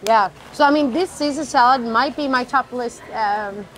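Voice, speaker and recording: A woman's voice: on the high side, normal speed, a little hiss or hum, poor audio. A woman in her thirties from Sweden with a Swedish accent, chatting casually as a food vlogger on a lapel mic at an outdoor food court. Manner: chatty, animated, rates food out loud. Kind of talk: casual food-tasting chat. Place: outdoor food court seating under a roof.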